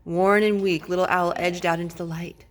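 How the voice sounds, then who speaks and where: A woman's voice: fairly low pitch, level tone, normal speed, slight background noise. A woman reading a children's storybook aloud as a storyteller, with dramatic, emphatic phrasing.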